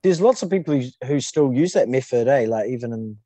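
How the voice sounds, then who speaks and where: A man, a podcast guest chatting in a relaxed way and often ending sentences with "eh?". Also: mid-range voice, fairly quick, dry recording. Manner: relaxed and easygoing, ends sentences with "eh?". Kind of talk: casual chat.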